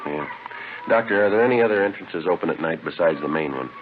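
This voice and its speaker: A man playing a terse, methodical police detective sergeant in a vintage radio drama, deadpan and clipped. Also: sits low, quick pace, heavy background noise. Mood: businesslike. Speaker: lead actor and narrator in a radio police drama. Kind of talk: 1950s radio drama acting, terse first-person narration. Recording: muffled vintage radio recording.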